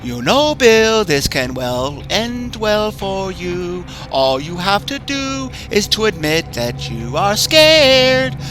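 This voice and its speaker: A man putting on a high, sing-song demented clown. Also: big pitch swings, takes it slowly, few pauses, a bit bright, full character voice, low-quality recording. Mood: taunting. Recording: music bed behind the voice.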